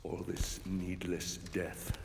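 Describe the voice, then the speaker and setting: A grave, righteous Roman soldier for a game cinematic. His deep voice moves slowly and accusingly, echoing through a big hall. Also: little pitch movement, vocal fry, full character voice. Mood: cold fury. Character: grim, vengeful Roman soldier, stern and righteous. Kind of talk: dramatic video game acting. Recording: echoey hall reverb, background noise.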